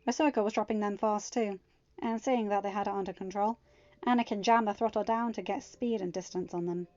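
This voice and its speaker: A woman narrating a fan story in a steady reading voice, then chatting casually to listeners. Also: medium pitch, brisk, highs cut off. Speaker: fanfiction audiobook reader.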